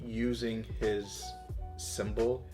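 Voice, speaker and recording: A man's voice: lowish voice, flat delivery, very slow, dark tone, soft background music. A man hosting a reaction show, chatting casually as he gives his thoughts.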